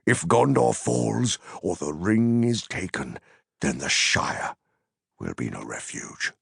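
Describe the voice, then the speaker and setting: A man voicing an ancient wise wizard who speaks gravely and commandingly in solemn, archaic, dramatic phrases. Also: low voice, big pitch swings, takes it slowly, fairly bright tone, no room sound, studio quality, heavily acted. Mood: hushed, grave.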